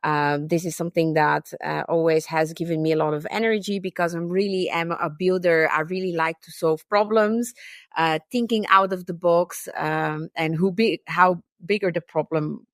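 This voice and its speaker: Woman, low dry voice. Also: fairly even intonation, moderate pace, no echo. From Bulgaria. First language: Bulgarian. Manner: friendly, thoughtful, frequent ums and uhs. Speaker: podcast guest, tech recruiter. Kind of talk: conversational interview.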